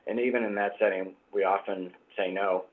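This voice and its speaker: A man with a cold-roughened, husky voice, explaining things in a measured way as a guest doctor, with frequent fillers. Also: low voice, fairly even intonation. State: hoarse from a cold. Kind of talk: expert explanation in interview. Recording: tinny phone-like line.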